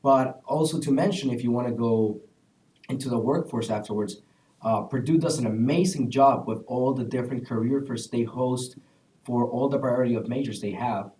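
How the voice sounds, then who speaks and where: A man's voice: mid-range voice, moderate pace, warm tone. A young man from El Salvador who is a university student speaks on a panel, giving earnest recommendations with frequent "uh" fillers. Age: young adult. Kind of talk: casual panel answers. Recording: echoey room, distant mic.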